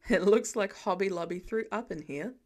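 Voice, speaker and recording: A woman voicing a sarcastic grown man, a superhero dad, in a dry, flat, deadpan way for an audiobook story. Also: mid-range voice, normal speed, pitched below the performer's own voice, close to an everyday voice. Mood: baffled.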